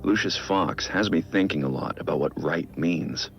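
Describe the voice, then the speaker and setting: An actor playing a brooding billionaire vigilante, recording a measured, reflective personal audio log in a game. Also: male voice, muffled recording, soft background music. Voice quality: low gravelly voice. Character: brooding billionaire vigilante, man in his prime.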